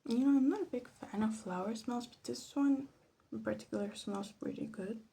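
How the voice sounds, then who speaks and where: A woman giving casual ASMR commentary in a soft, breathy half-whisper, musing to herself without hurry. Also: a little high, moderate pace. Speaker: vlog host. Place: her bedroom. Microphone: phone. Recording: faint background noise, phone microphone.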